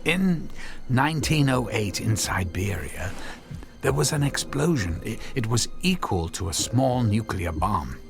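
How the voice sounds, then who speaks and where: An actor playing an obsessed, apologetic middle-aged scientist and husband in a radio drama, halting and repeating his words. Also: on the low side, sing-song, creaky, heavily acted. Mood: earnest, intense.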